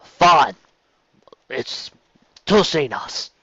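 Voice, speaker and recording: A man voicing a gruff female bartender mare with a put-on Cockney accent, clipped words slurred together. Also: fairly high pitch, big pitch swings, drawn-out words, fairly bright tone, no room sound, highs cut off, exaggerated voice. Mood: brusque.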